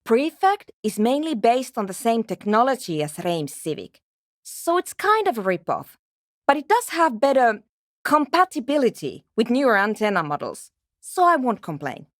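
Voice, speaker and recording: Lively, dry-witted woman programmer. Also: fairly high pitch, normal speed, dry recording. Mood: matter-of-fact. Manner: dry, matter-of-fact, sardonic. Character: brusque, nerdy young woman programmer researching a radio anomaly. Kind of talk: video game character dialogue.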